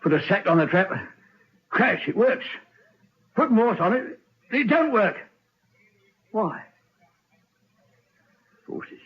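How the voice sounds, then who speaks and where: Haunted prison hangman: drunk, rambling, husky, swinging wildly in pitch, on a dull old radio recording. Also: very animated, takes it slowly, long pauses, exaggerated voice. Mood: frantic, spooked. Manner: slurred, rambling, agitated, repeating himself. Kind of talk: old radio drama acting.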